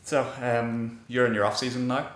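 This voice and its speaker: A man's voice: medium pitch, level tone, normal speed, a bit bright, echoey room. An Irish man hosting a podcast and asking questions in a chatty, informal way. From Ireland. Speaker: podcast host. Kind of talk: casual interview.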